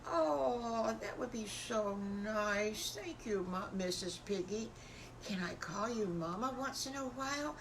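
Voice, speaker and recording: A woman's voice: on the high side, lively intonation, slow, background noise. A woman voicing an eager, innocent young wolf boy in a children's story, with a childlike, earnest way of talking. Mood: hopeful, excited.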